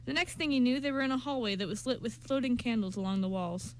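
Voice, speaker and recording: Female narrator, amateur radio drama: higher-pitched, reading from script, small stumbles, faint noise. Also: quick pace, poor audio.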